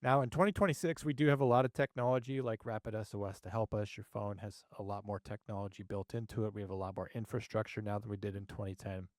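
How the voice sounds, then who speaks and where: A man's voice: lowish voice, steady tone, fast talker, hardly any pauses, darkish tone, no room sound, clean studio sound. A man hosting and presenting a case breakdown to an online audience, starting phrases with emphasis and letting them fall away. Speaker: host, active 911 dispatcher.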